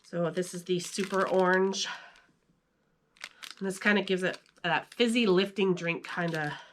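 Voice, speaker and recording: A woman's voice: fairly low pitch, steady tone, measured pace, a little hiss or hum. A woman hosting with a playful, chatty manner, moving between casual talk and children's story reading. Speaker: video host and storyteller. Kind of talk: casual vlog chat and children's story read-aloud.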